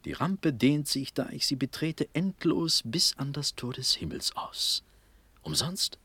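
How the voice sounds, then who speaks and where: An actor playing a dazed, dreamy young Prussian prince in a verse radio drama, fervent and halting, with breathy speech drifting into whispers. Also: male voice, medium pitch, expressive, pushed and bright, no echo, exaggerated voice. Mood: rapturous. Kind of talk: classical German stage verse radio drama.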